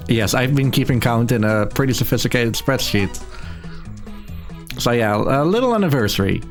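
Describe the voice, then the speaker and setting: A man's voice: mid-range voice, expressive, moderate pace, soft background music. A man giving casual live commentary as he speedruns a video game. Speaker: speedrunner commentating own run.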